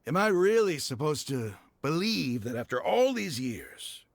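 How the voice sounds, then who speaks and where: A man playing a proud, embittered desert mercenary leader in a video game, with dramatic, halting, emotional delivery. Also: on the high side, big pitch swings, creaky, heavily acted. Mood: bitter, anguished.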